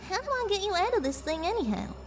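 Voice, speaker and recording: A woman's voice: very high-pitched, big pitch swings, quick pace, recorded from a distance, faint music behind, heavily acted. A woman playing a skeptical, plainspoken young pony with a folksy Southern US accent in an audio drama. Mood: plainspoken, questioning. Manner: folksy, blunt, doubtful. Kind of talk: fan audio drama acting.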